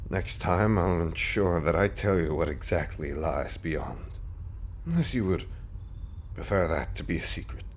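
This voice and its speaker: A man playing a devoted zombie husband in an intimate roleplay audio drama, speaking in a breathy, halting, wryly romantic and eloquent way. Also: low voice, animated, slight background noise.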